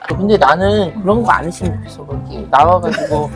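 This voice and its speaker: A woman's voice: fairly low pitch, fairly quick. A woman in her forties chatting casually as a guest on a talk show. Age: 40s. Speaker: civil servant guest, former army officer. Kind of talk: casual chat. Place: small restaurant, later an outdoor patio. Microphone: clip-on lapel. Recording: loud music behind.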